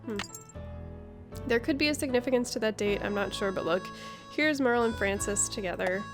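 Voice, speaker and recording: A woman's voice: fairly high pitch, a little fast, music in the background. A woman hosting a gaming video with casual playthrough commentary into a headset.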